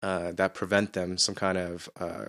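A man who works as a pastor, answering interview questions in a relaxed, self-deprecating way and often thinking aloud as he goes. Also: low voice, normal speed. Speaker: pastor, podcast guest.

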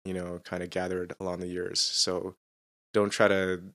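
A pastor being interviewed on a podcast answers casually, with a low voice and a self-deprecating sense of humor. He often restarts his sentences as he works out what he wants to say. His delivery stays relaxed and unhurried.

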